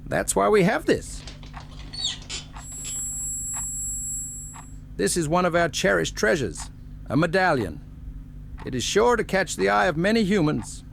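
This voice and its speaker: A man voicing a plump old gnome elder with a creaky voice and quaint, deliberate, formal phrasing, in a fantasy audio story. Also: sits high, lively intonation, a little hiss or hum, exaggerated voice. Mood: gracious, earnest.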